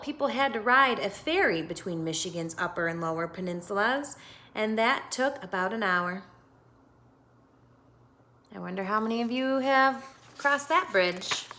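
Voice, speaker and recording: Bright-voiced American woman reading slowly to children. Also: medium pitch, slight background noise. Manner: expressive, instructive, often asking the listeners questions. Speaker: teacher reading aloud to children. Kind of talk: children's picture-book read-aloud with asides to young listeners.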